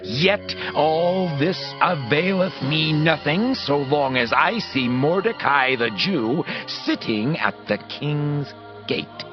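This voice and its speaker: An actor playing a proud, resentful villainous royal official, boastful and brooding, in a dramatized scripture audiobook. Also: male voice, fairly high pitch, animated, slow pace, highs cut off, over music. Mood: bitter, brooding.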